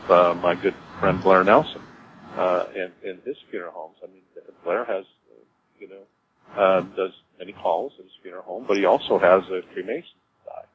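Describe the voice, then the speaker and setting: A man answering interview questions informally as a business executive, in a halting way, repeating words as he explains. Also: deep voice. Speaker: cemetery executive being interviewed. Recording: dull lo-fi audio with clear noise.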